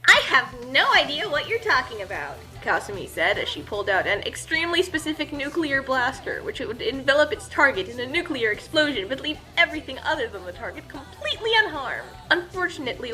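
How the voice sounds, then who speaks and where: A woman's voice: high voice, bright, forceful tone. A woman telling a comedic story as a scripted narration, casual and tongue-in-cheek.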